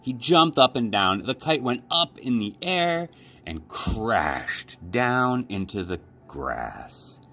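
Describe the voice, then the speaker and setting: A man reading a children's storybook aloud as a librarian, with expressive, measured narration. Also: mid-range voice, faint music behind. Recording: clearly noisy background.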